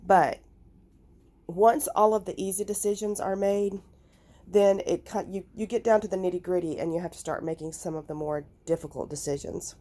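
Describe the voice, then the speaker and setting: A woman with a raspy, nasal, hoarse voice, talking through her crafting clean-out in an unhurried, thinking-aloud vlog. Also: fairly low pitch, steady tone, warm tone. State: recovering from illness, hoarse. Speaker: crafting vlogger. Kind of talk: casual vlog talk and voiceover.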